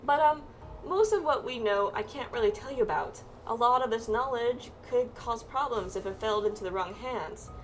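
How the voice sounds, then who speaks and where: Lady reading out a chatty astrologer guide in a fantasy game, breezy n sure of herself, highish, bit off mic w music. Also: normal speed, natural voice. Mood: playful, boastful. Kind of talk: reading video game quest dialogue aloud. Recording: distant mic, music behind.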